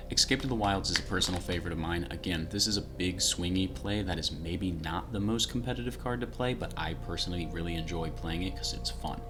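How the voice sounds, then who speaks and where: A man with a very low voice chatting casually as he builds a card deck on a late-night stream, thinking aloud with fillers, picked up by a sensitive desk mic. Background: background music.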